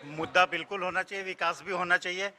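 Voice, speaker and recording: A man giving his opinion in a street interview as a ruling party supporter, in a halting way. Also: sits high, fairly even intonation, a loud crowd. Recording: reverberant, echoing sound.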